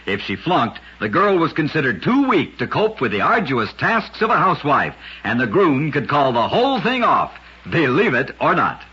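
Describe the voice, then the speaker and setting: A man narrating short scripted stories in an old-time radio style, with an emphatic showman delivery and a husky voice. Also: fairly high pitch, bright, forceful tone. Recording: dull, lo-fi old radio recording.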